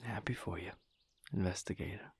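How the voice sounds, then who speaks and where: A man playing a teasing, guarded lover in an intimate audio roleplay, speaking in a husky, breathy murmur with hesitant pauses. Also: low-pitched, no echo, full character voice. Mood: dry, probing.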